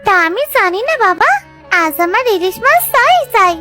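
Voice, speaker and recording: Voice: very high-pitched, big pitch swings, moderate pace, loud music behind the voice. A performer voicing a little girl in a cartoon, wheedling and insistent with her father. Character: little daughter, pleading and insistent, craving fish. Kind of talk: Bengali cartoon child acting.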